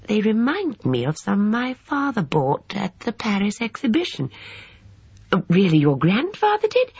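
Narrator doing a fluffy old lady, polite rambly chatter, sweet, bit husky, storybook reading. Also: medium pitch, a little mellow, dry recording, lo-fi sound, pitched above the performer's own voice, heavily acted. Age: older. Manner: gushing, genteel, rambling politeness.

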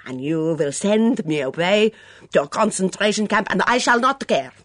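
Sullen foreign cook with an accent, melodramatic and self-pitying, quick and swinging in pitch, dry close sound , put on by the reader. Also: female voice, lively intonation, no echo. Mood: defiant. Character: sullen foreign woman cook, dramatic, suspicious.

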